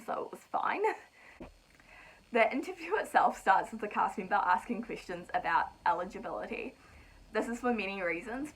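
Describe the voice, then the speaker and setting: A woman's voice: medium pitch, a bit bright, rough recording. A young woman from New Zealand with a New Zealand accent, casually explaining a process to her vlog viewers in a chatty, practical way. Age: young adult. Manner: chatty and practical, with light laughs. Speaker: vlogger.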